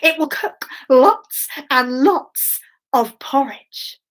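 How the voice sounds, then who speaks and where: A woman voicing a gentle, mysterious magical lady in a children's story, solemnly giving instructions. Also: high voice, expressive, drawn-out words, full character voice. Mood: instructing, mysterious.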